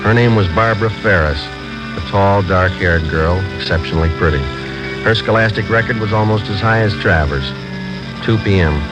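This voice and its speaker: An actor playing a calm, businesslike police sergeant who speaks in clipped, matter-of-fact lines in a vintage radio crime drama. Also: male voice, on the low side, moderate pace, natural voice. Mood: deadpan, factual. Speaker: narrator and detective. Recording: muffled old radio drama, music.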